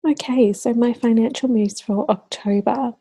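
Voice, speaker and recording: Female vlogger, slightly croaky and warm, friendly and upbeat, dry close sound. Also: a little high, fairly even intonation, moderate pace, no room sound. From Australia. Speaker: budgeting channel host. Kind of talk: casual talk to camera.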